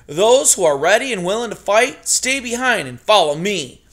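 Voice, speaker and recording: Guy doing a chill young rocker mouse, higher voice, slangy, says dudes a lot. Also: very animated, slow, pushed and bright, heavily acted. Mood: rallying. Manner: laid-back slang, calls everyone dudes. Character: young male mouse, cool rock-and-roll hero.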